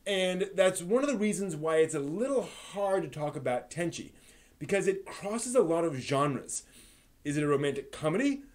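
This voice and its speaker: A man's voice: fairly high pitch, expressive, moderate pace. Man hosting an anime talk stream. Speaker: live stream host. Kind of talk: anime review and live discussion.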